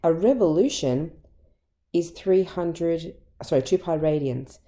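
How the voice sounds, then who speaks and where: Croaky low-voiced Australian teacher woman. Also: mellow. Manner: patient, step-by-step explaining. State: croaky voice from illness. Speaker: maths teacher. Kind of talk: recorded maths lesson.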